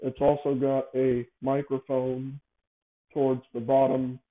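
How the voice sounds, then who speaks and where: A man speaks over narrow, phone-like audio in a casual, unscripted monologue. He hosts in a halting way, often doubling back to correct or repeat his phrases. His pitch sits in an ordinary middle range. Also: monotone. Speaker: show host. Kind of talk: casual improvised monologue, explaining a device idea.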